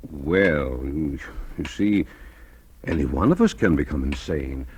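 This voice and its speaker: A very deep-voiced, insinuating guardian. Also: male voice, lively intonation, measured pace, slightly rough, exaggerated voice. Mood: insinuating, patronizing. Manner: hedging, patronizing. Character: evasive older guardian, outwardly genial, insinuating husband. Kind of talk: vintage radio drama acting. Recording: noisy, crackly old radio recording.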